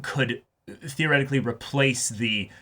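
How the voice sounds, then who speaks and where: A man hosting an anime reaction show, talking casually and haltingly, repeating and rephrasing phrases for emphasis. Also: medium pitch, bright, forceful tone.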